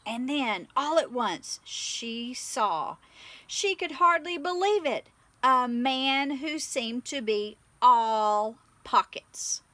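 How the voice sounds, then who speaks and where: Bright, high-voiced woman reading a storybook. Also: expressive, slow, dry recording. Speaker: storybook reader. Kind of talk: expressive scripted children's story narration.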